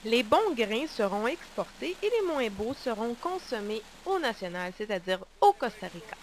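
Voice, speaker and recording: A woman's voice: on the high side, sing-song, normal speed, slight background noise. A woman voicing a curious young coffee bean who chats away as the narrator of a storybook. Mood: chatty. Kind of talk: amateur storybook voice acting.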